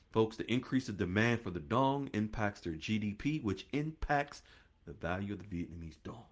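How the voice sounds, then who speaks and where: A man's voice: fairly low pitch, a bit bright. A man hosting a finance podcast, talking straight to listeners in an emphatic, preachy monologue that keeps repeating points and calling them "folks.".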